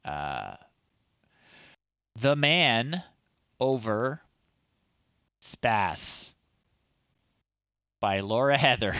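A man in his thirties hosts a relaxed livestream with a bright, clean voice. He takes his time, pausing often, and sounds amused. The sound is close and dry. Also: mid-range voice, clean tone, no room sound, dull audio. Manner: unhurried, amused, halting with pauses. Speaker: livestream host. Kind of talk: casual livestream commentary, reading children's stories aloud. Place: small home room, indoors.

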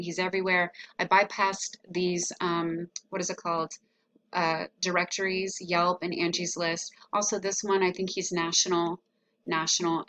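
A woman with a fairly low voice talks through an audit as a consultant. Her delivery is conversational and explanatory, like an informal screen-share walkthrough. She often pauses and repeats words as she finds her phrasing. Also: fairly bright tone. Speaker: SEO consultant presenting a website audit.

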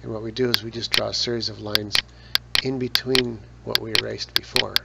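Gravelly man narrating a software tutorial. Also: fairly low pitch, fairly quick. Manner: relaxed, explains step by step with casual asides. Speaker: photo editing tutor. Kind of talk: screen-recorded software tutorial. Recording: dull lo-fi voice with loud noise.

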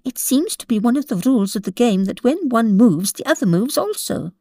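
A woman's voice: a little high, big pitch swings, fairly quick, warm tone, a little hiss or hum, voice pushed up. A woman voicing a curious, polite young girl in a storybook reading.

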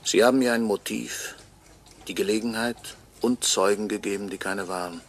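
An actor playing a bitter murder victim who narrates a radio crime drama with brooding, sardonic deliberation and a gravelly voice. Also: male voice, fairly low pitch, steady tone, moderate pace. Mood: bitter irony. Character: bitter murdered watchmaker's employee, narrating from beyond death.

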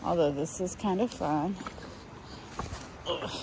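A woman's voice: fairly low pitch, slow. A woman chatting playfully as she hikes a rocky beach at night, filming on a body-worn camera. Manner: chatty, playful, excited exclamations. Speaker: hiker vlogger. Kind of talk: casual narration while hiking. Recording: noisy outdoor camera audio.

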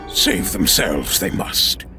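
A man voicing an ancient, wise little alien master with a croaky voice, slow measured speech and inverted word order, in an audio drama. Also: low voice, wildly swooping pitch, full character voice. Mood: grave, musing. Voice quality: croaky, gravelly. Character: ancient small wise alien Jedi master, male, calm. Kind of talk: fan audio drama acting. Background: loud music.

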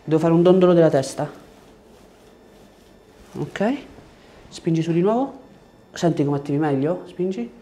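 A man, working as a chiropractor and giving short, gentle instructions and questions during an exam. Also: fairly high pitch, animated, normal speed, pauses often. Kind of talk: hands-on exam, instructing a patient. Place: small treatment room indoors.

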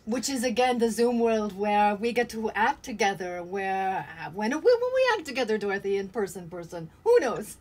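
A woman's voice: medium pitch, sing-song, normal speed, hardly any pauses, a little mellow. An older woman reflecting casually as an actor in an interview held over a video call. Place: indoor room with cloth backdrop. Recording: faint ambient noise on video call.

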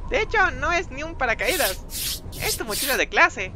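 A man's voice: extremely high pitch, very animated, moderate pace, noisy background. A man voicing a small, gleeful cartoon Death with a taunting, theatrical manner. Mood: smug.

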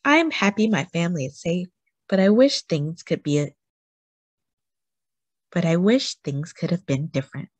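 A woman reading a children's book aloud for a classroom, slow and careful with lively rises on key words. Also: lowish voice, no room sound, lo-fi sound.

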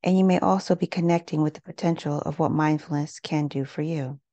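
Low, mellow woman guiding meditation. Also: fairly even intonation, no echo, muffled recording. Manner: gentle, unhurried, measured delivery with pauses. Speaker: meditation guide. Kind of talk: guided meditation, scripted narration.

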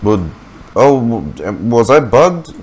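A man's voice: medium pitch, very animated, slow, a little mellow, slight background noise. A young man giving offhand, casual gameplay commentary in muttered short reactions, speaking into a desk mic indoors. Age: young adult. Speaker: streamer reacting to a game. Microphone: desk microphone close by. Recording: game music behind the voice.

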